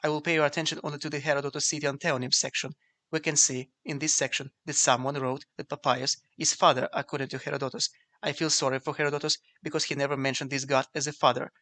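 A man whose first language is Ukrainian, narrating a scripted lecture in a measured, explanatory way. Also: a little high, sharp tone. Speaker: video essay narrator.

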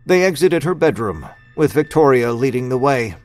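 A man reads scripted narration for an audio drama. His voice sits at a medium pitch, with soft music behind it. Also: animated, normal speed.

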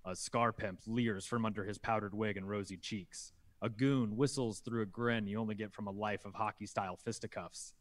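A man with a fairly low voice narrates a screenplay. He reads scene headings and stage directions as scripted narration on a group video call. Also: fairly even intonation, moderate pace, few pauses.

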